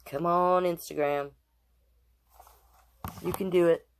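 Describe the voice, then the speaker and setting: A woman with a fairly low voice reads a children's story aloud. She is expressive and chatty, often stepping out of the text to talk straight to her viewers. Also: slow, slight background noise. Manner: expressive, chatty, addresses live viewers between passages. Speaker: storytime host and drag king reader. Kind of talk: reading a children's picture book aloud with chatty asides.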